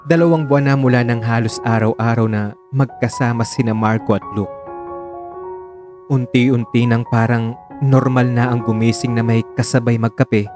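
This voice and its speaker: A man narrating a scripted dramatic love story in a measured, solemn storytelling manner. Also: medium pitch.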